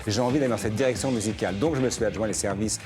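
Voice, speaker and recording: A man from France, a musician guest in a television interview, talking fast and restarting phrases with repeated words. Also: lowish voice, over music. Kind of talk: talk show interview.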